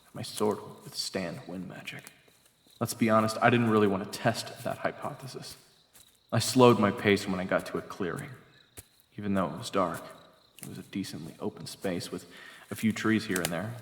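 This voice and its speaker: Guy narrating a dramatic story in first person, deep-ish voice, big echoey reverb. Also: fairly bright tone, slight background noise. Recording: echoey long reverb, music behind. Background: background music.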